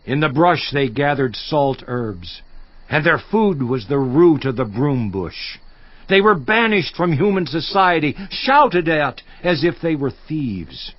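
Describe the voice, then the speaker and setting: A grieving, once-honored patriarch of an audio Bible drama, anguished and declamatory. His fairly high male voice moves slowly and swings widely in pitch, with a roomy echo around it. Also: very animated, lo-fi sound, exaggerated voice. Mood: bitter scorn. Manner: theatrical, declamatory, with long dramatic pauses. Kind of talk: dramatized scripture monologue.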